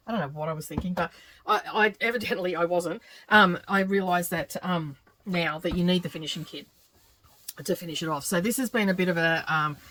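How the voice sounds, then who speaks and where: A middle-aged woman with a slightly croaky voice, chatting and thinking aloud as she works on a quilt for her craft channel. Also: fairly low pitch, bright, forceful tone, low-quality recording. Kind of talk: casual craft vlog narration while working.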